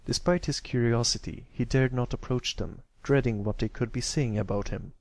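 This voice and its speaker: A man's voice: mid-range voice, normal speed, darkish tone, no room sound. A man reading scripted story narration as an amateur audiobook reader.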